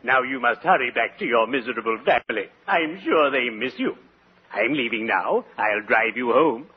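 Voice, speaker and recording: An actor playing a morbidly genteel undertaker in a 1940s radio sitcom, formal, deliberate and drily macabre. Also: male voice, medium pitch, animated, slightly rough. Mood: solemnly droll. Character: Digger, morbidly genteel, cheerful male undertaker. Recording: very noisy, muffled 1940s radio recording.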